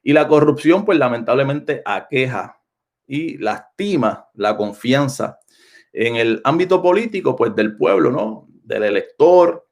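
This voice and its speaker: A man's voice: medium pitch, animated, measured pace, gravelly. A man with a Puerto Rican Spanish accent, a pastor talking off the cuff to viewers on a live stream, often repeating phrases and restarting his sentences. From Puerto Rico. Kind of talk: unscripted livestream talk to viewers. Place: home, Florida.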